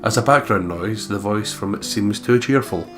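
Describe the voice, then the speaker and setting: Low-pitched man reading a story over music. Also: moderate pace. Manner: playful, breaks into laughter and asides. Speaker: let's play host. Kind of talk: reading visual novel narration aloud with casual asides. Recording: guitar music under the voice.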